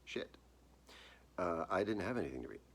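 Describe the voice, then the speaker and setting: A man with an exceptionally deep voice reads his fiction aloud. The tone is husky and rough-edged, and he phrases things deliberately, like an author presenting his own work. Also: sing-song, a bit bright. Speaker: author reading own short story. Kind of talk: dramatic live reading of literary horror fiction.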